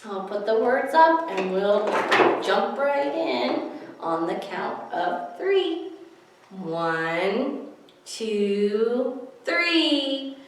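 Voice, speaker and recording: Echoey, high-voiced woman leading children's story time. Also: lively intonation, extremely slow, slight background noise. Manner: encouraging, simple sing-song delivery aimed at toddlers. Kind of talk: nursery rhyme instruction for young children, with singing. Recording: echoey room, distant microphone.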